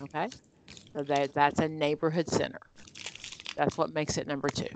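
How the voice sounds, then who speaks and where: A woman's voice: low voice, flat delivery, moderate pace, a little hiss or hum. A woman leading an online exam-prep study group, explaining answers with everyday examples in a conversational way over a video call.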